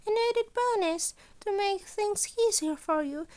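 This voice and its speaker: Casting: small animal headmaster played by a woman, very high-pitched, formal, deliberate, calculating schemer for an audio story. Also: a little mellow. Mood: instructing. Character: small scheming mammal school principal, male, formal and calculating.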